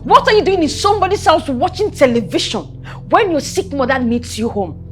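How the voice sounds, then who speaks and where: A woman's voice: on the high side, sing-song, normal speed, faint music behind. An actress with a Nigerian accent playing a haughty, scheming, hot-tempered young heiress in a film drama, emphatic and repeating her phrases. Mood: scolding. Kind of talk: melodramatic film acting.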